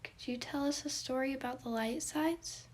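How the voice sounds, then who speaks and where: A timid little boy voiced by a woman, slightly high and halting. He sounds nervous and unsure, picking words carefully in a storybook tale. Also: a little hiss or hum, lightly acted. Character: shy, nervous small boy, timid and hesitant.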